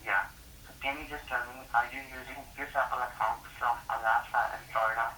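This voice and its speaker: A man's voice: medium pitch, fairly even intonation, a little fast, bright, forceful tone, slight background noise. Indian-accented man over a roomy phone line. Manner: hesitant, many fillers, formal address. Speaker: phone scammer. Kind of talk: scripted call-center talk. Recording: phone line heard through speaker, roomy, distant.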